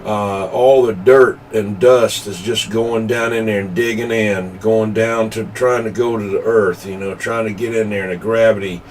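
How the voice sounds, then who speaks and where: A man's voice: on the low side, steady tone, normal speed, hardly any pauses, a little mellow. A man in a rambling, folksy, casual CB radio chat as a hobbyist operator. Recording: noisy room recording, distant from mic.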